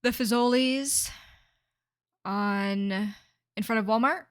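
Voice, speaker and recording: A woman's voice: on the high side, slow pace, smooth. A young woman co-hosting a podcast, chatting casually and bluntly about everyday stories. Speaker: podcast co-host. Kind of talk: casual chat.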